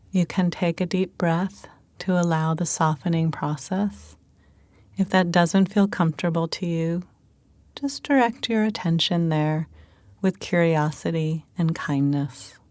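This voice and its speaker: Female meditation guide: calm, gentle, very unhurried, slightly low voice, dry intimate recording. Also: no room sound.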